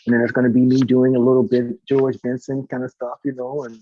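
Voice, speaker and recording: Fast-talking, excitable man on dull audio. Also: medium pitch, a little hiss or hum. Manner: excitable, effusive, rambling. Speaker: guest, singer and concert organiser. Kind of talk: casual live chat, talkative promotion.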